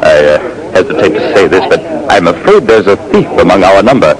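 A man's voice: sits low, very animated, fairly quick, a crowd in the background, poor audio. A man voicing a fussy, formal diamond dealer in an old-time radio drama, prim and a little hesitant. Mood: worried. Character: soberly dressed male diamond dealer, proper and fussy.

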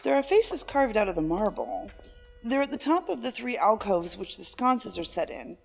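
A woman playing a poised, quick-witted federal agent in a science fiction audio drama. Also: mid-range voice, expressive, gravelly. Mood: inquisitive. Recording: long hall reverb, music underneath.

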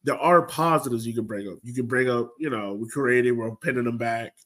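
A man's voice: medium pitch, brisk, a bit bright. A man co-hosting a sports podcast, recapping a match in a colloquial, exasperated way. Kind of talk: casual post-match soccer discussion.

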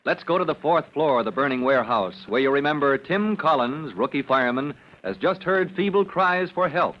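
A man's voice: on the high side, animated, brisk. A man announcing and narrating in a dramatic old-time radio style. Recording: noisy muffled old radio recording.

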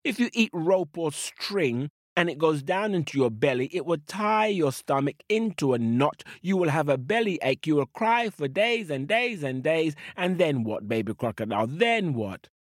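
A man voicing a wise male snake who talks in a slow, deliberate, lecturing way in a spoken storybook. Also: fairly high pitch, expressive, no room sound, studio quality, pitched above the performer's own voice.

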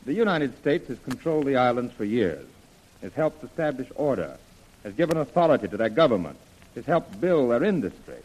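An actor playing an earnest, persuasive gentleman major, formal and articulate, in an old-time radio adventure. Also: mid-range voice, big pitch swings, a little fast, breathy edge, low-quality recording.

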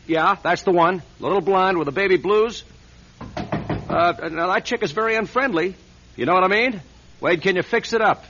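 A man's voice: high-pitched, normal speed, natural voice. An actor playing a vain, womanizing male celebrity with oily charm and a stammer, in a radio drama. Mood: brash, wheedling. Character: smooth, vain, womanizing celebrity man, flustered when cornered. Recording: dull radio recording with faint noise.

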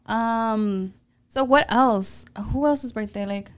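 A woman's voice: on the high side, slow, over a noisy crowd. Profane, laughing Mexican American woman host. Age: late 20s. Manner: casual, profane, laughing, switches into Spanish. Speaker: podcast co-host. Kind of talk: casual chat.

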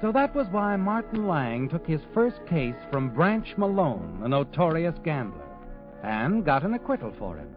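A tinny vintage radio recording with music behind a sardonic, all-knowing male narrator. His delivery is dramatic and needling, with highly animated pitch, as he narrates a crime drama. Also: moderate pace. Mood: knowing, ominous. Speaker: host and narrator. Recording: tinny narrow-band old radio recording, musical backing.

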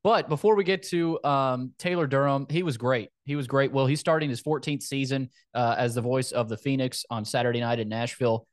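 A man's voice: medium pitch, fairly even intonation, brisk, few pauses, dry recording, studio quality. A man hosting a sports podcast in casual talk, often repeating phrases for emphasis.

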